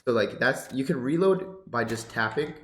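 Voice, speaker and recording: A man's voice: mid-range voice, moderate pace, roomy sound, a little hiss or hum. Congested young man commenting on a shooter game. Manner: laid-back, excitable bursts during play. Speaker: competitive gaming YouTuber. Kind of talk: casual gameplay commentary. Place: home gaming setup. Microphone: headset with boom mic.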